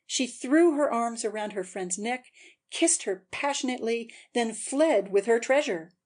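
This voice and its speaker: A woman's voice: a little high, lively intonation, a little slow, a little mellow. A woman narrator giving a scripted, dramatic audiobook reading.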